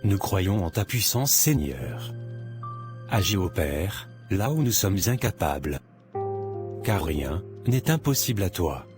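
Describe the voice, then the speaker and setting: Cartoon romantic lead, male actor: devout young man, deep voice, sincere, steadfast, music clearly behind. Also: sing-song, slow, exaggerated voice. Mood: prayerful.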